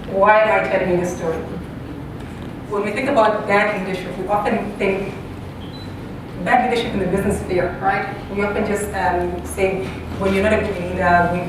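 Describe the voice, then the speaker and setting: A woman from Zambia and Botswana giving a public talk, speaking haltingly with repeated words and frequent pauses. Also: little pitch movement. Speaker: university faculty member, guest speaker. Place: large echoey room, live talk. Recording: echoey hall, distant mic, noisy rumble.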